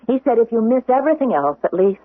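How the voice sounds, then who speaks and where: Grieving young widow with swooping, emotional delivery. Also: female voice, a little high, very animated, fast, no echo. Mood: wistful. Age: young adult. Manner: emotional, hesitant, dramatic delivery. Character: grieving young widow, a wistful history teacher. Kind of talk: old-time radio drama acting. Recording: muffled, band-limited 1970s radio broadcast.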